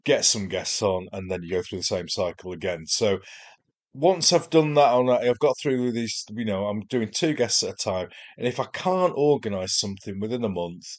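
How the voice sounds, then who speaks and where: A man with a Yorkshire accent hosting a wargaming podcast, talking in a rambling, self-deprecating way, his voice chesty and hoarse from a chest infection. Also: fairly low pitch, animated, moderate pace. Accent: Yorkshire English. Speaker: podcast host. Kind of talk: casual solo podcast monologue.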